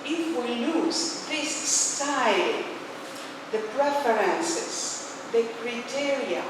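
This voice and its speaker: A woman from Poland with a Polish accent, speaking non-native English haltingly as she gives a spiritual reflection in a speech. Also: a little high, expressive. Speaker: religious leader, speaker. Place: large echoey hall, speaking to a gathering. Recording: echoey hall from far off, noisy.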